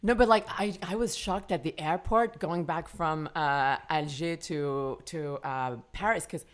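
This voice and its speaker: A woman, a comedian, chatting casually and telling a story, halting with fillers and restarts. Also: low voice. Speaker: stand-up comedian, podcast guest.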